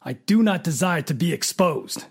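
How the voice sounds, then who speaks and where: An actor playing a smug private detective who is a cold killer in a radio mystery drama, with a raspy, gravelly voice and a coolly sardonic manner. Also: medium pitch, very animated, normal speed, full character voice. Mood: coolly menacing.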